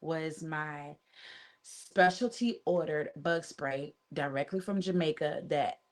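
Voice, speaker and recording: A woman with a fairly low voice co-hosts a podcast. She talks in casual chat and tells stories with plenty of enthusiasm. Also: slow pace. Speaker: podcast co-host.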